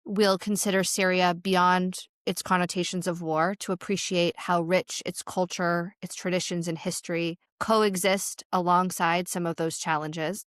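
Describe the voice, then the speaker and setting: Smooth low-voiced woman co-hosting. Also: no echo, studio quality. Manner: measured, articulate, lays out the series plan. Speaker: podcast co-host, aid worker. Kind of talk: scripted podcast introduction.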